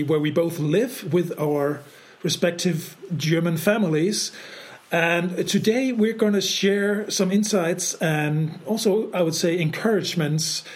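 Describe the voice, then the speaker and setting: A man from Denmark co-hosting a casual podcast, talking haltingly with many repeated words and restarts. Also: fairly high pitch. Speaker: podcast co-host.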